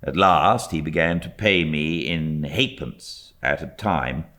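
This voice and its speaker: Low, unhurried British male narrator. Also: pushed and bright. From Britain. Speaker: audiobook narrator. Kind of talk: expressive scripted narration of classic literature.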